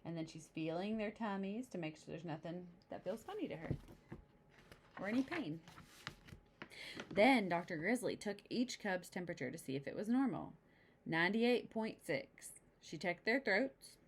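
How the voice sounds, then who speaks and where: A woman reading a picture book to young children, pausing to explain the pictures in simple, chatty asides. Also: medium pitch, lively intonation, a little hiss or hum. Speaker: storybook reader.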